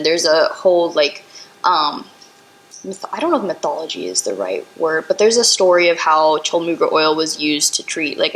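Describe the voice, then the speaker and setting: A young woman with a somewhat low voice co-hosts an educational podcast. She talks casually, with plenty of fillers, and walks the listener through science step by step. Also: a bit bright, poor audio. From Indian heritage, Bengali. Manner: chatty, many fillers, explains step by step. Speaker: podcast co-host.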